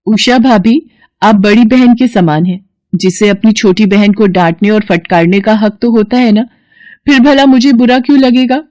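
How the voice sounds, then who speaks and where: A woman's voice: fairly high pitch, fairly even intonation, normal speed, mellow, no room sound, lightly acted. A woman voicing a polite, caring and dutiful young sister-in-law who speaks respectfully to her elders in a family story. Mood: gentle, respectful.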